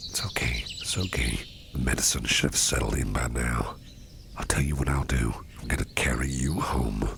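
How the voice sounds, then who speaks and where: A man playing a protective, faintly sinister businessman boss in an ASMR roleplay, speaking in a soothing, unhurried, gravelly half-whisper. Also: a bass voice, background noise, heavily acted. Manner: soothing, unhurried, repeats reassurances. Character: sinister yet protective businessman boss, male, smooth-talking, doting.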